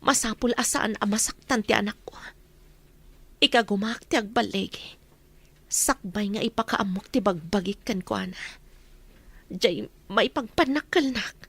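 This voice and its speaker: A woman's voice: mid-range voice, a little slow, pauses often, a bit bright, dry recording. An actress playing a determined, scheming mother who longs for her child, in an Ilocano radio drama. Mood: pained resolve.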